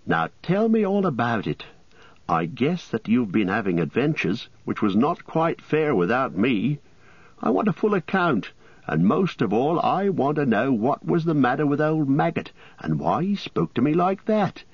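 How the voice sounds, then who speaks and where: A man putting on a keen young hobbit fellow who keeps pressing with questions, his voice lifted high and lively. It sounds husky, dry and dull, like an old audiobook recording. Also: moderate pace, no echo. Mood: eager. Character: eager curious young male hobbit Merry.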